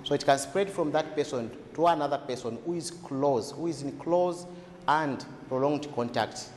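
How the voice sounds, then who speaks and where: A man with a slightly high voice explains things like a health educator in an interview. He phrases deliberately, posing questions and then answering them. The room is very echoey, with faint noise behind him. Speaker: health expert explaining tuberculosis. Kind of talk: explanatory interview talk for a documentary.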